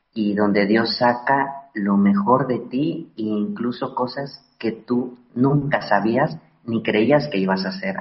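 A man, a missionary priest, telling his story in an interview, reflective and unhurried with frequent pauses. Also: on the low side. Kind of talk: testimony in an interview. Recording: roomy, dull sound.

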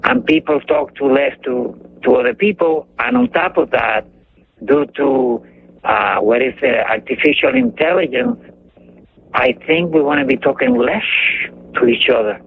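An older caller with a Cuban accent, speaking in a halting, emphatic way as he hunts for his words. His voice is gravelly and swings up and down a lot. It comes through a tinny phone line with a faint hiss. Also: mid-range voice, very animated. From Cuba. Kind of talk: call-in radio chat.

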